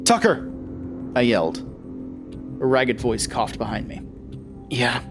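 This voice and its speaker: A man's voice: mid-range voice, expressive, slow pace, music in the background. A man narrating and acting an audio drama, anxious and prone to stammering. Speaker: narrator and lead.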